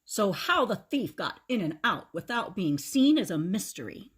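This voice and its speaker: A woman's voice: medium pitch, big pitch swings, moderate pace, breathy edge. Woman putting on a grave, earnest police officer.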